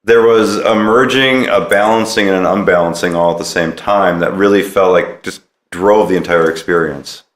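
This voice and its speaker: A man's voice: deep voice, normal speed, hardly any pauses, roomy sound. A man hosting a podcast interview in a conversational style.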